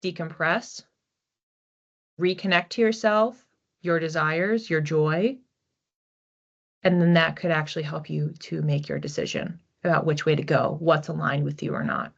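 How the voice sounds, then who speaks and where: Low-voiced woman giving a chatty card reading. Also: steady tone, measured pace, vocal fry. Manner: chatty, thinking aloud with restarts and soft laughs. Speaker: psychic card reader. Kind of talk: relaxed solo oracle reading. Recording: slightly dull lo-fi audio.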